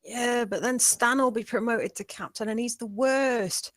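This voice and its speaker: A woman's voice: fairly high pitch, unhurried, no echo, lightly acted. A woman playing a sullen, sardonic spaceship crew member who grumbles and sneers at a comedy table read. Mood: sullen, sneering. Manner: sneering, weary complaint.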